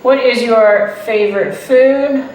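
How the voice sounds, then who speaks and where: A man, teaching beginner English slowly and patiently, repeating phrases and checking in with "okay" and "right". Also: very high-pitched. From Texas. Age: 30s. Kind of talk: slow, deliberate beginner English lesson. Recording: echoey room, voice far from the mic, faint noise.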